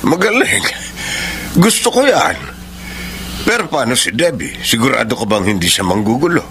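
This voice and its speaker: A slow-talking middle-aged father in a radio soap, approving yet watchful, with very lively swings in pitch. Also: slight background noise. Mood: approving, wary. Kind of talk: Filipino radio drama acting.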